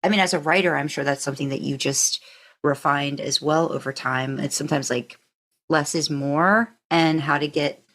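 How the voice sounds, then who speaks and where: A woman's voice: low voice, steady tone, moderate pace, fairly bright tone. A woman hosting a casual podcast interview, encouraging and affirming, thinking aloud as she rephrases her questions. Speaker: podcast host interviewing a guest.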